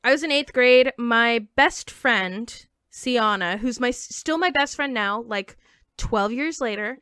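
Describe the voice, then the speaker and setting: A woman in her twenties, a comedian guest, telling stories in a casual podcast chat, full of "like" fillers and quick jokes. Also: a little high, bright, forceful tone, clear, resonant tone, no echo, studio quality. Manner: chatty storyteller, many "like" fillers, quick jokes.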